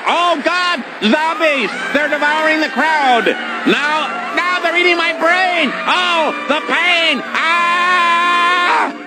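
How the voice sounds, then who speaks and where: A man's voice: extremely high pitch, takes it slowly, low-quality recording. A man voicing a melodramatic, panicking baseball commentator in a cartoon broadcast. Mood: terrified. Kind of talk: cartoon parody of live sports broadcast. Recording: loud crowd and music behind shouting.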